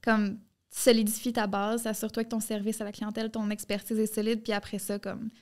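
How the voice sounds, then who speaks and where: A woman's voice: mid-range voice, level tone, fairly quick, darkish tone. A young woman with a Québécois French accent, chatting as a podcast guest in run-on bursts full of "tu sais". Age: young adult. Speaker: podcast guest, marketing entrepreneur. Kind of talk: casual interview chat.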